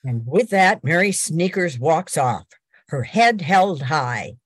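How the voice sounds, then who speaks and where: A woman's voice: low voice, expressive, takes it slowly, breathy edge, no echo, clean studio sound. An older woman reading scripted narration as the announcer of a radio drama.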